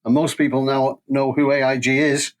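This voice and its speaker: A man's voice: mid-range voice, flat delivery, moderate pace. An older man with a Manchester English accent, telling stories conversationally as an interview guest. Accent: Mancunian English. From England. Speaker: podcast guest, safety training company president. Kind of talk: conversational career storytelling.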